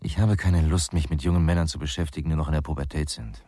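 An actor playing a gruff, cynical ex-policeman in a radio crime drama, with a sardonic, dismissive manner. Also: a bass voice, fairly even intonation, fast talker, dark tone. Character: gruff, cynical male ex-policeman with a drinking past. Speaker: ex-police detective in a crime series.

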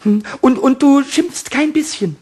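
A man voicing a mischievous little male kobold in a radio play, high and squeaky with a slight huskiness, stammering and fretful. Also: measured pace, a little mellow. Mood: coaxing.

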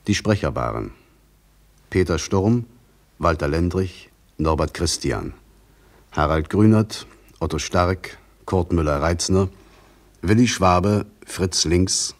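A man reading a formal scripted radio announcement in a measured manner. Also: low voice.

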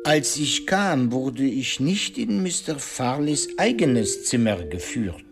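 An actor playing a shrewd, courteous detective in a radio play, speaking formally and deliberately with French phrases and musing hesitations. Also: male voice, medium pitch, big pitch swings, creaky, over music, exaggerated voice. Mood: methodical questioning. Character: shrewd, courteous male detective, pensive and probing.

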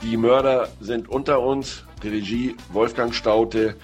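A man speaking as a guest film critic on the radio, explaining in a measured way. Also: mid-range voice, steady tone, faint music behind.

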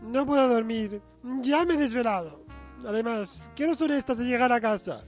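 A shrill, nagging, melodramatic wife. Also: male voice, lively intonation, normal speed, soft background music. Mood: grumpy, awakened. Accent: Spanish, from Spain. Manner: scolding, indignant, theatrical outbursts. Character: Catalonia personified as a nagging, melodramatic, snobbish wife. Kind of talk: comic radio soap opera acting.

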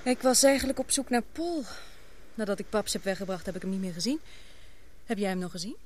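An earnest young woman played by an actress in a radio drama. She is questioning and expressive, with hesitant phrasing and a mid-pitched voice. The old recording carries faint noise. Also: a little fast, close to an everyday voice. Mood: friendly.

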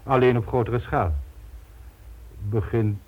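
An actor playing a megalomaniac, smugly polite criminal mastermind in a radio drama, making grandiose, condescending speeches. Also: male voice, medium pitch, fairly even intonation, slow pace, breathy edge. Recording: dull lo-fi old radio recording.

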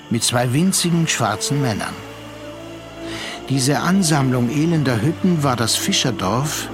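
An actor playing a reflective, worldly gentleman narrator in a literary radio drama, speaking measured standard German. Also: medium pitch, lightly acted. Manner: measured, contemplative, deliberate phrasing.

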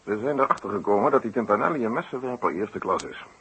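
Low, bright-voiced police inspector. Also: male voice, expressive, quick pace, slightly rough, heavily acted. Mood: collegial, businesslike. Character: no-nonsense Dutch police inspector leading interrogations. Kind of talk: 1960s radio drama acting. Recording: old radio recording with hiss.

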